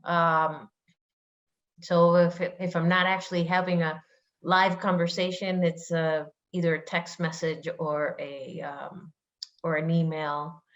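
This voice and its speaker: A woman's voice: on the low side, level tone, takes it slowly, highs cut off. A woman guest in a casual video chat, talking in a plain, matter-of-fact way.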